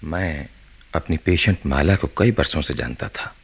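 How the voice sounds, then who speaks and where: A man acting a grave, reserved doctor in a radio play, husky-voiced, measured and courteous. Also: a bass voice, lively intonation, heavily acted. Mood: grave, reflective. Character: grave, guarded male doctor recounting a case. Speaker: doctor and narrator character.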